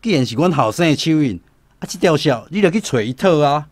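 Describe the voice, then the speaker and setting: A man's voice: a little high, expressive, brisk. An actor playing a stubborn, angry old village father with a Taiwanese accent in a radio drama, blunt and agitated. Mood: angry, defiant.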